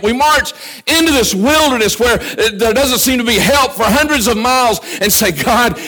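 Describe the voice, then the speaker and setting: Guy preaching, pretty high voice for a man, gets fired up then goes soft and quiet. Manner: fervent preaching, often dropping to quiet asides. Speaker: pastor. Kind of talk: sermon. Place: church.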